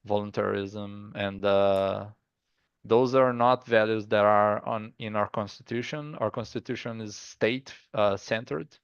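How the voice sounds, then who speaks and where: A man with a Brazilian accent, a guest in a casual interview, who talks haltingly and often restarts with "like". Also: lowish voice, fairly even intonation, clean tone, no echo, highs cut off. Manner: halting, stops and restarts, says 'like' often. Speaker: guest artist.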